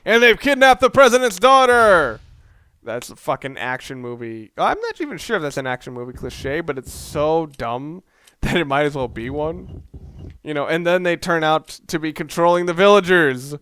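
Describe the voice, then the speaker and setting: Rambling, cussing young man with a higher voice. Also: big pitch swings, moderate pace, pushed and bright, smooth, no echo. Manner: casual, swearing, self-deprecating, rambling. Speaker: let's play commentator. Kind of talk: rambling gameplay commentary.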